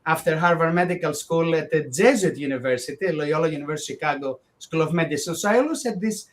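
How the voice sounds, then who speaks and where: A man's voice: on the high side, fast. A man from Greece with a Greek accent, speaking as an interview guest in long, earnest, winding sentences that often restart. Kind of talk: conversational interview.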